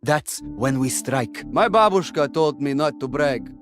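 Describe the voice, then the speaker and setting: A man voicing a calm hunter. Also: medium pitch, measured pace, music in the background, close to an everyday voice. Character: calm male hunter and archer. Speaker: game character.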